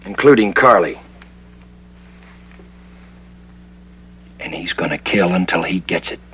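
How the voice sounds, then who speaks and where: An actor playing a cool, menacing masked vigilante posing as a crime boss in a 1960s TV crime drama, speaking in clipped, unhurried threats. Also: male voice, deep voice, animated, fairly bright tone. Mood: cool menace. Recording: humming, noisy old TV soundtrack.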